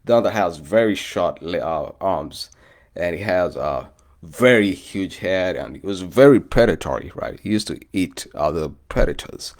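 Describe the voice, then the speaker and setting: A Kenyan man with a low, deep voice that swings widely up and down as he talks. He rambles in a loose, friendly way and keeps calling his listeners "bro". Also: expressive, moderate pace. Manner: loose and chatty, often says "bro", greets live commenters. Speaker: vlogger. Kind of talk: casual rambling chat to viewers.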